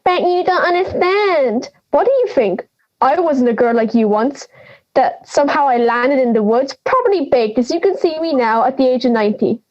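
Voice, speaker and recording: A woman's voice: high-pitched, lively intonation, normal speed, muffled recording. A young woman playing a feisty, blunt granny of ninety in an audio drama, scolding and sarcastic. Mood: scolding, brash. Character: feisty, blunt ninety-year-old granny living in the woods.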